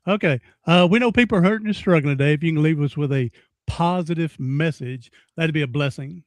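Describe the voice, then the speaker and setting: A man's voice: fairly high pitch, a little fast, dry recording. A man in his sixties hosting a podcast interview, folksy and rambling, often repeating himself. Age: 60s. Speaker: podcast host. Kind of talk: casual interview chat.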